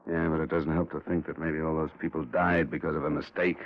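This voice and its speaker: An actor playing a tough, skeptical insurance investigator in a 1950s radio crime drama, terse and world-weary. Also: male voice, a bass voice, little pitch movement, quick pace, slight background noise. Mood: grim. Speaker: lead actor and narrator. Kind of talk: 1950s hard-boiled radio crime acting. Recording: muffled old radio recording with music.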